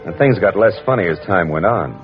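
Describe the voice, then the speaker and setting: Wry, tough gumshoe narrator, deep register, deadpan delivery, vintage dull radio sound. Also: male voice, a little fast, over music. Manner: deadpan, sardonic. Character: wry, tough male private detective narrating his case. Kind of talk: 1940s radio detective acting, scripted first-person narration.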